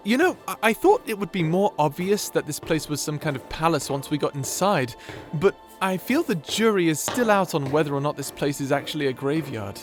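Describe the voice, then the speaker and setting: A man's voice: a little high, lively intonation, a little fast, hardly any pauses, soft background music, natural voice. A man playing a shy, nerdy young wizard who rambles anxiously, stammering and apologizing in a fantasy audio roleplay. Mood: curious, nervous.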